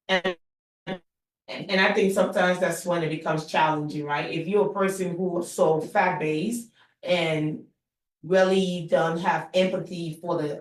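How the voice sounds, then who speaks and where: A middle-aged woman hosting a conversation, asking reflective, encouraging questions. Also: on the low side. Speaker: host, mother. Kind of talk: interview-style conversation. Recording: echoey room.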